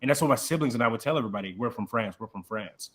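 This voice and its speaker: A man's voice: mid-range voice, very fast. A man hosting a podcast, talking in a casual, slangy way as he tells stories from growing up. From Central Africa, raised in Texas. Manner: casual, slangy, relaxed storytelling. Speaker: podcast host. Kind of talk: podcast conversation.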